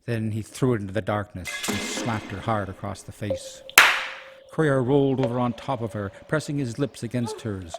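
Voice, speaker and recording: A man's voice: fairly low pitch, moderate pace. A man narrating a scripted fantasy radio play in a dramatic storytelling style. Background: music.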